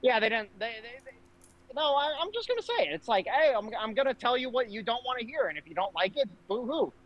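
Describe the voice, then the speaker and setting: A man's voice: very high-pitched, very animated, fast talker, lo-fi sound. A man giving casual political commentary as a podcast host, speaking in a brash, blunt, profanity-laced way.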